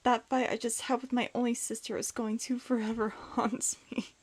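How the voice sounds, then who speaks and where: A woman's voice: a little high, level tone, moderate pace, lightly acted. A woman acting a bitter, despondent outcast in a comic dub, guarded, sullen and dismissive. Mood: despairing.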